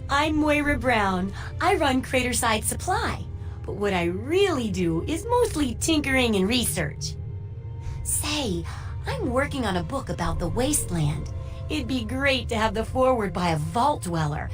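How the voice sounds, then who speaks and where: A very high-pitched cheerful oddball shopkeeper from a post-apocalyptic video game. She chatters earnestly, her melody swinging widely up and down. Faint music plays behind her. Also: big pitch swings, a little slow. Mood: bubbly, welcoming. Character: cheery, eccentric wasteland shopkeeper and tinkering researcher woman. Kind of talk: video game character dialogue.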